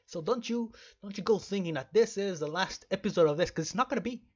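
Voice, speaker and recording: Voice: mid-range voice, quick pace, a little mellow, muffled recording. A game streamer giving rambling let's play commentary in an emphatic, mock-stern way, often repeating phrases. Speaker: video game streamer and host.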